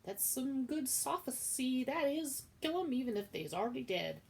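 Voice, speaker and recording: A woman voicing a simple, dim-witted young servant girl with slangy, slow speech. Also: high-pitched, very animated, gravelly, a little mellow, pitched above the performer's own voice, heavily acted. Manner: slow, plain-spoken, slangy.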